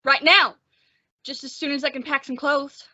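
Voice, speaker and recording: A woman's voice: sits high, fairly even intonation, moderate pace, pushed and bright, no room sound, muffled recording. A woman playing a timid, bookish young man made sheriff, with a hesitant, stammering, anxious manner, in an old-time western radio drama. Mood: defeated. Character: timid, bookish young male sheriff, nervous insect collector.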